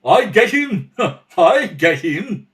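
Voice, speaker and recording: High, slow, simple foreign tough. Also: male voice, very animated, fairly bright tone, heavily acted. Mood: eager, pleased. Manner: drawn-out, exclaiming broken English. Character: simple, friendly foreign tough man with broken English. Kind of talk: old-time radio mystery acting.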